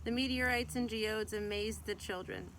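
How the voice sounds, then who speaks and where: A woman reads a picture book aloud in the manner of a teacher sharing a story with a class. Her pitch sits in the middle range for a woman. There is faint but noticeable noise behind her voice. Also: moderate pace. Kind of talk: picture book read-aloud, scripted narration. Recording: clear background noise.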